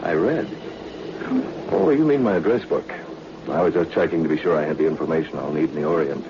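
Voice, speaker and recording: A man's voice: very deep voice, a little fast. A man playing a courteous, easily flustered newspaper reporter hero, gallant and hesitant, in 1940s radio drama style. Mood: gallant, friendly. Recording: dull, noisy vintage radio recording.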